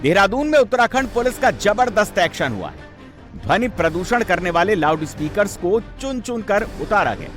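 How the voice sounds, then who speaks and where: A man's voice: sits high, sing-song, moderate pace, fairly bright tone. A man presenting scripted TV news narration in an emphatic, sensational, dramatic way. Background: background music.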